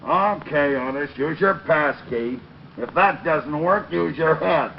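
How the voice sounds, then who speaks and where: Gruff police lieutenant, higher-pitched creaky voice, impatient and sarcastic, old noisy radio broadcast. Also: male voice, slow, muffled recording. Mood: impatient, barking. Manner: barking orders, sarcastic. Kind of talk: 1940s radio crime drama acting.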